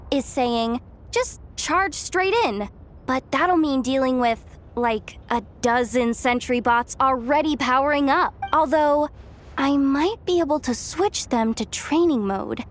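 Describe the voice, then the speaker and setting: A woman's voice: high-pitched, a little hiss or hum. A woman voicing a cheeky, breezy young female treasure hunter in a video game, with a flippant, chatty, theatrical manner. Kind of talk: animated video game voice acting.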